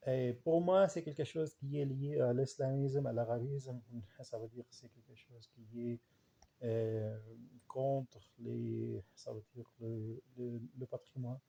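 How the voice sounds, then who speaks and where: A man with an Arabic accent and an Arabic dialect as his first language reflects informally, haltingly searching for words and repeating himself. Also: mid-range voice, steady tone, gravelly, mellow. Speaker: language researcher and writer.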